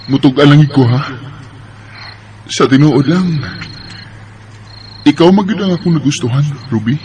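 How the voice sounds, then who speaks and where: A man's voice: on the high side, animated, unhurried, background noise. A man with a Bisaya Filipino accent playing an earnest, teasing young suitor in a radio drama. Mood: tender, earnest.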